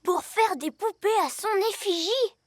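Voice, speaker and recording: A performer voicing a plucky, determined child superhero in a French cartoon, lively and emphatic. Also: very high-pitched, a little slow. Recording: music behind the voice.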